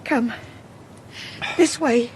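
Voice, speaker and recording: A woman playing a kind, devout peasant mother in a cottage, speaking gently and homely. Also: fairly high pitch, drawn-out words, background noise. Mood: blessing, fond. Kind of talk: radio drama acting.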